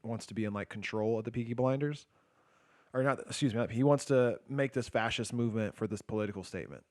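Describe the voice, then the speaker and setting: A young man co-hosting a casual podcast, rambling through a story with lots of "like" and swearing, a bit short of breath. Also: quick pace. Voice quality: self-described low, quiet voice. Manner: rambling retelling, heavy filler "like", swearing. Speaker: podcast co-host. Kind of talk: casual chat.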